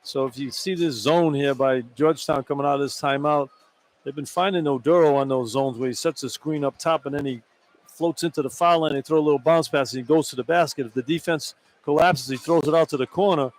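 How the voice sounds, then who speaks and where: A man's voice: a little high, brisk, poor audio. A man working as a radio analyst, explaining plays in a conversational way during live basketball commentary. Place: courtside in a large indoor arena. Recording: live arena broadcast with background noise.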